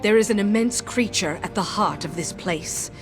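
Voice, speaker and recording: A solemn, devoted female warrior from a fantasy game, played by an actress. She speaks formally and earnestly in a mid-pitched voice, with music clearly behind her. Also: close to an everyday voice. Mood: earnest, urgent. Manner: formal, measured, earnest delivery. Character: solemn, loyal woman warrior sworn to her queen. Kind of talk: dramatic video game cutscene acting.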